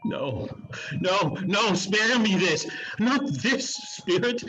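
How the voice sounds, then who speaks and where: A man's voice: sits high, expressive, slow pace, sharp tone, faint music behind, heavily acted, poor audio. A man playing an anguished, regretful old miser in a melodramatic, pleading manner for a dramatic story reading.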